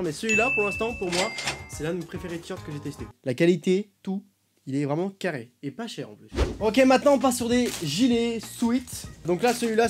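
A young man in his twenties trying on clothes for a vlog, chatty and animated, with quick reactions and self-mocking asides, speaking into a boom-arm studio mic in a small bedroom. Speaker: youtuber. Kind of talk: clothing try-on haul vlog. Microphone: studio mic on boom arm in front.

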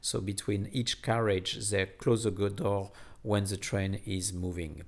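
A man with a lowish voice narrates a casual travel vlog. He speaks very slowly and haltingly, feeling his way through each sentence as he explains things to viewers. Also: fairly even intonation, vocal fry. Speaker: vlogger.

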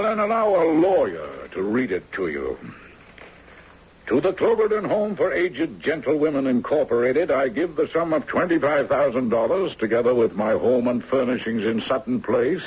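A very husky old lawyer in a vintage radio play. He is shrewd and dogged, with formal, theatrical phrasing and a lively rise and fall. The recording is dull. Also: mid-range voice, heavily acted. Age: older. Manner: theatrical, formal courtroom cross-examination. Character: shrewd, dogged elderly retired judge turned lawyer. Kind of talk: 1970s radio drama acting.

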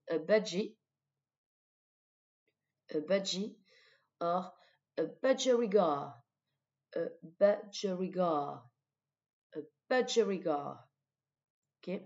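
Slow-paced French woman teacher, dull sound. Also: lowish voice, a little mellow. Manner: didactic, deliberate repetition of words. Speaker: English teacher. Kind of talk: vocabulary lesson, repeating words for pupils. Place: voice-over of a screen recording.

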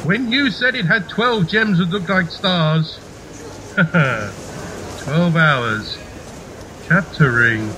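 A man's voice: on the high side, very slow, pauses often, pushed and bright, a little hiss or hum, full character voice, rough recording. A man playing a polite, flustered British naval captain and affectionate husband in an amateur radio play. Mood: affectionate, sheepish.

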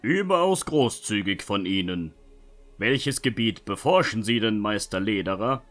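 A man's voice: lowish voice, lively intonation, measured pace, a bit bright, faint music behind. A man acting a polite, composed young nobleman with formal, diplomatic speech in a fantasy audio drama. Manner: courteous, smooth-talking, formal. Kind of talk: fan-made German fantasy audio drama.

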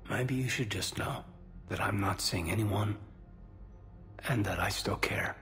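A man playing an earnest, soft-spoken, somewhat hesitant space soldier in a video game, speaking intimately. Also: fairly low pitch, a little slow, faint music behind. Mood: tender, hesitant. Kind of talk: video game dialogue acting.

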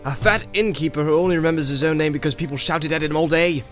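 A man's voice: fairly high pitch, fast talker, natural voice. A man voicing a stern, grim and scornful wandering ranger in an audiobook. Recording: music behind the voice.

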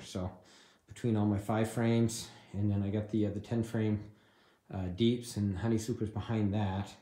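A man's voice: medium pitch, a little hiss or hum. A man in his forties giving a casual, rambling, informal handheld vlog narration as a beekeeper, thinking aloud into a phone. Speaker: beekeeper vlogger. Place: outdoors in snowy yard, later inside a barn.

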